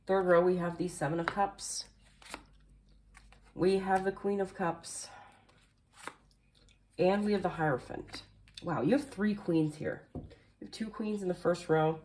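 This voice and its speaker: A woman with a low voice reads tarot cards at a table at home, talking off camera. She is chatty and rambling, full of little fillers, and sounds a bit nasal and hoarse from allergies. There is a faint hiss behind her. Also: measured pace, pauses often, darkish tone. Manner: chatty, rambling with many fillers, occasional coughs. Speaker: tarot reader. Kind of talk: casual tarot card reading. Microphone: camera facing the table, speaker mostly off screen.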